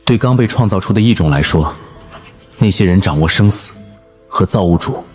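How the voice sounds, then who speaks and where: A man voicing a composed survivor in an animated drama, explaining grim secrets in a measured, deliberate way. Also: sits low, faint music behind. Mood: grave, explaining. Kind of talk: animated drama dialogue, expository.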